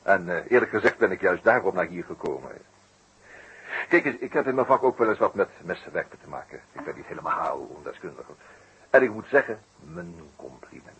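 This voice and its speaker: Male, courteous inquisitive police inspector, low lively voice, old muffled radio drama. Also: slightly rough, full character voice. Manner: urbane, inquisitive, measured. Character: courteous, probing French police chief inspector. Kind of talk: 1960s Dutch radio drama acting. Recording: dull, noisy 1960s radio recording.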